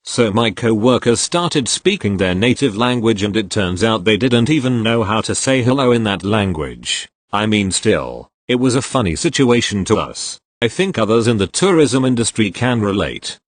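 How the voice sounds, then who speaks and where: Low, expressive male story reader. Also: unhurried, dry recording. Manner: expressive reader voicing each character in turn. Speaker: narrator reading online posts. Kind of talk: scripted storytelling narration.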